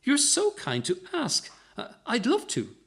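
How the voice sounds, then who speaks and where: A man's voice: very high-pitched, huge pitch swings, unhurried, a bit bright, pitched above the performer's own voice, exaggerated voice. A man voicing a gracious, delighted mature lady with a gushing, genteel manner in a story reading. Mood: flattered.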